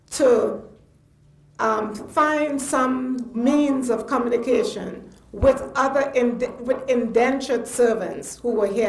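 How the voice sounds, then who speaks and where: An older woman from Trinidad with a Trinidadian accent, speaking off the cuff at a public lecture in an emphatic, halting way. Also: high voice. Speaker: guest lecturer. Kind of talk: spontaneous public lecture commentary. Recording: echoey room.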